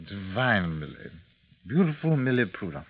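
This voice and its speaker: An actor playing a drunken, amorous gentleman gambler in a 1940s radio drama, lingering theatrically over his words. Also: fairly low pitch, sing-song, moderate pace. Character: tipsy, amorous, toast-making gentleman gambler. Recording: muffled 1940s radio recording.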